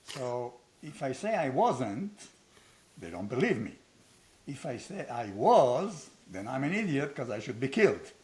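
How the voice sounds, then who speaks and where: A man's voice: mid-range voice, big pitch swings, measured pace, darkish tone. An older man from Israel with an Israeli accent, hosting an unscripted conversation in a blunt, provocative way with self-deprecating humor. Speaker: host, founder of a training academy.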